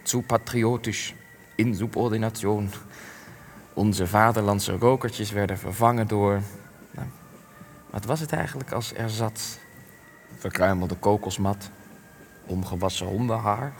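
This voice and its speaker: An actor playing a frail old war veteran in a radio drama, wistful and bitter, musing hesitantly and stumbling over repeated words. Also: male voice, fairly low pitch, steady tone, a little slow, in a large hall. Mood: wistful, reminiscing. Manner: hesitant, rambling, musing, with stammered repetitions.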